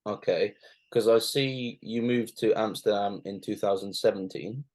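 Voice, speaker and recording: A male host with a fairly low voice runs a relaxed interview. He asks short, plain questions and keeps the guest going with steady "mm-hmm"s. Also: normal speed. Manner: brief questions, frequent "mm-hmm" backchannel. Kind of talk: casual interview.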